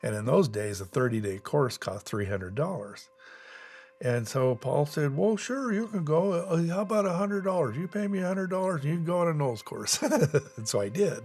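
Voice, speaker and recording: A man's voice: a little high, lively intonation, normal speed, darkish tone, slightly rough. A middle-aged or older man telling an anecdote in a documentary interview.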